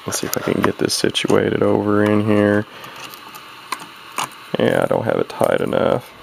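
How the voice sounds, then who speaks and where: A man's voice: deep voice, creaky. A man casually narrating his own hands-on radio repair video in a rambling, folksy way, often correcting himself as he goes. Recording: noisy handheld camera home video.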